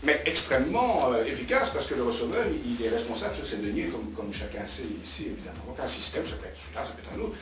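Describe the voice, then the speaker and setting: A man's voice: mid-range voice, big pitch swings, fast, hardly any pauses. A man speaking off the cuff as an expert in a debate, setting out his points didactically and repeating words for emphasis. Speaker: invited expert in a political debate. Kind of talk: spontaneous argued commentary in a round-table debate. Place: roomy cafeteria, indoors. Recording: echoey room, distant mic, music behind.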